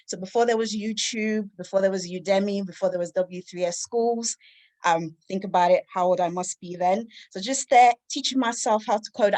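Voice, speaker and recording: A woman's voice: mid-range voice, darkish tone. A woman, giving an upbeat, candid personal talk over a video call with frequent ums. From London. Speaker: lightning talk speaker, computer science teacher.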